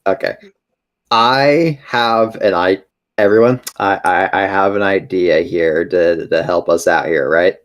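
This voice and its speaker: A man's voice: low voice, measured pace, muffled recording. A man playing a tabletop game, chatting casually and hesitantly, often restarting his sentences. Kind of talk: casual improvised game chat.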